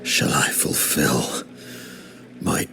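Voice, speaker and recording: A man's voice: very slow, over music. An actor playing a proud, doomed male zealot leader who speaks in solemn, grandiose declarations in a video game. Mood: defiant.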